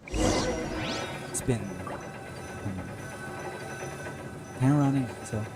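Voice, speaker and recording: Casual livestreamer, mid-pitched voice, halting and muttering, with loud game music behind. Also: lively intonation. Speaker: game streamer.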